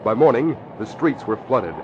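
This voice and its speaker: An actor playing the dramatic narrator of a radio mystery, reading scripted storytelling lines. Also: male voice, mid-range voice, lively intonation, moderate pace, close to an everyday voice. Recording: dull, noisy vintage radio recording.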